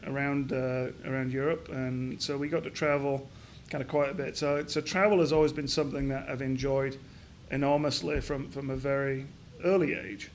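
A man in his fifties from Yorkshire, answering interview questions reflectively with frequent pauses, fillers and restarts. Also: mid-range voice, slight background noise. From Yorkshire, England. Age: 50s. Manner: hesitant, many restarts and fillers. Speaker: business founder guest.

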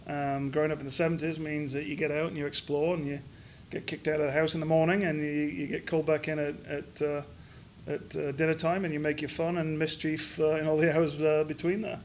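A man's voice: on the high side, little pitch movement, brisk, slight background noise. Middle-aged man from Yorkshire, thoughtful. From Yorkshire, England. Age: 50s. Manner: hesitant, many restarts and fillers. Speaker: business founder guest. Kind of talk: reflective interview answers.

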